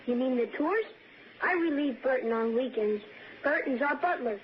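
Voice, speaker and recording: A woman's voice: high voice, lively intonation, moderate pace, slight background noise. A woman playing a breezy, prim twelve-year-old young master in a 1960s radio drama. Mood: coolly polite. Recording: muffled, tinny old radio broadcast.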